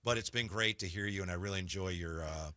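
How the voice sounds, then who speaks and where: A man's voice: deep voice, fast talker, sharp tone, dry recording. A man hosting a casual sports radio interview, chatting conversationally.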